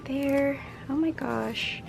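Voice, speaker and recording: A young woman with a raspy, hoarse voice, chatting casually as a budgeting vlogger and counting out cash into envelopes. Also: sits high, very slow, over music. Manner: chatty, rambling, counts money aloud. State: hoarse from a late night. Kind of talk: casual chat while stuffing cash envelopes.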